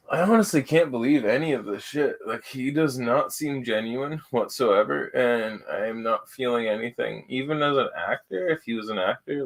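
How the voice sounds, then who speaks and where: A Canadian-accented man hosts a casual reaction stream with a mid-pitched voice. He is blunt and slangy, swears freely and comments as things play. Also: gravelly.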